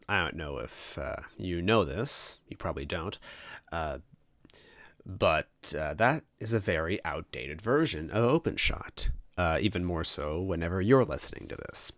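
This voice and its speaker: A man's voice: low voice, no echo. A man hosting a reading series, talking casually to listeners in a rambling, hesitant way and often interrupting himself. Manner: hesitant, halting, self-interrupting. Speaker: host of a fan fiction reading series.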